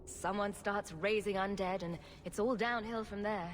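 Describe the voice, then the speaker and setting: An actress playing a wry, self-assured adventuring heroine in a video game, sardonic and glib. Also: female voice, mid-range voice, steady tone, normal speed, a little hiss or hum, natural voice. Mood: wry, confident.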